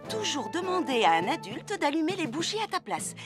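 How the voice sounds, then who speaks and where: A woman's voice: high voice, big pitch swings, brisk, music in the background. A woman voicing a prim, knowing little girl in a children's cartoon, reciting rules in a matter-of-fact way.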